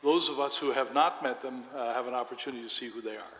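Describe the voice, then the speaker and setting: Bright-voiced older pastor in an echoey hall. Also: male voice, mid-range voice, fast. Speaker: pastor interviewing a guest. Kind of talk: informal church interview. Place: church sanctuary stage. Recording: echoey church hall with long reverb.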